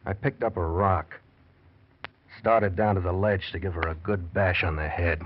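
An actor playing a scheming, guilty husband who feigns tender concern, in old-time radio drama style. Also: male voice, deep voice, fairly even intonation, normal speed, lightly acted. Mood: tense confession. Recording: muffled vintage radio recording.

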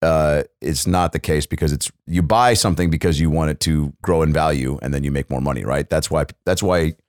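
Young male comic co-host, deep voice, loose riffing banter, dry mic. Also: fairly quick, smooth, dry recording. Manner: riffing, tangents, acts out bits with swearing. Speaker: comedian podcast co-host. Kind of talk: casual podcast banter with comic riffs.

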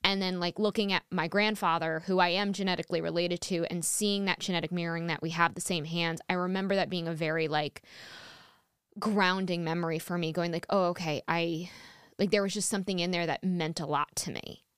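A woman hosts a conversational podcast interview with a lower voice. Her voice is very smooth and resonant, recorded dry and close. She speaks earnestly, stopping and restarting her sentences often. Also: steady tone, a bit bright, no room sound. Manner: earnest, halting, with many restarts and fillers. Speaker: podcast host.

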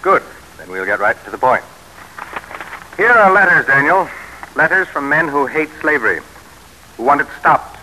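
Very sharp, forceful political campaigner. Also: male voice, a little high, lively intonation, normal speed, pauses often, rough recording. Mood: brisk, insistent. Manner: rousing, emphatic. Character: forceful male anti-slavery political campaigner rallying a senator. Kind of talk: old-time American radio drama acting. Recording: hissy vintage radio recording.

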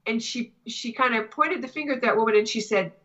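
A woman chatting casually as a talk show guest and teacher, telling anecdotes in an animated way. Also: fairly high pitch, a little fast, lo-fi sound.

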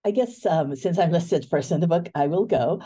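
A woman in her fifties from Hawaii, a guest in an interview, speaking thoughtfully with many ums and pauses. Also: on the low side, lively intonation, dark tone, breathy edge. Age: 50s. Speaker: book editor guest.

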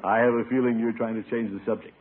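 An actor playing a genial, pompous middle-aged uncle in an old radio sitcom. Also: male voice, mid-range voice, fairly even intonation, fast talker, close to an everyday voice. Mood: knowing. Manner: pompous, chuckling, avuncular. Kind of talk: 1950s radio sitcom acting. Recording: muffled old radio recording, faint audience, hiss.

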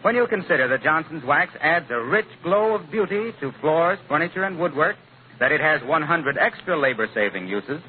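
A male 1940s radio announcer with a polished, declamatory scripted delivery. His fairly high voice moves with lively swings. It comes through a narrow, old broadcast recording. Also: moderate pace, slight background noise.

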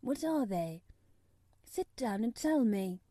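A woman's voice: on the high side, sing-song, slow pace, warm tone, no room sound, studio quality, heavily acted. A woman voicing a spoiled, sickly young boy of wealth who talks in a grand, commanding way in a storybook reading. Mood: curious, lordly.

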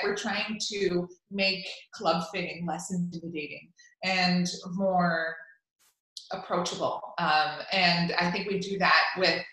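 A woman's voice: on the low side, fairly bright tone. A woman whose first language is Spanish, talking in an upbeat, chatty way with many fillers and restarts in an interview. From Cuban family. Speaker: golf media executive. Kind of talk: interview answers. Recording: echoey room.

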